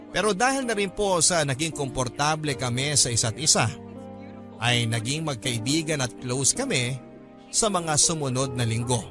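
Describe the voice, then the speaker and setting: A man narrating a confiding first-person love story for radio in a measured, polite manner. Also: medium pitch, close to an everyday voice. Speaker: narrator reading a listener's love letter. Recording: clear music bed behind narration.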